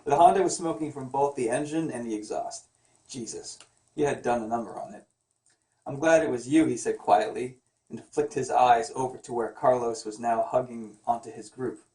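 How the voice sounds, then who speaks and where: A man reads fiction aloud like an amateur, teasing and tripping over words as he goes. His voice is medium in pitch. It sounds slightly distant from the mic, with a clear room echo. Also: moderate pace, a little mellow. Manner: joking, teasing, stumbles while reading. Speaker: comic artist reading wife's novel aloud. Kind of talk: amateur read-aloud with joking asides. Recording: roomy echo, away from the mic.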